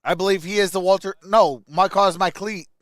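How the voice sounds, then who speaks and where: A man's voice: sits high, fairly even intonation, normal speed, a bit bright, no echo, studio quality. A man hosting a sports livestream, mixing casual play-by-play with chat in an emphatic way.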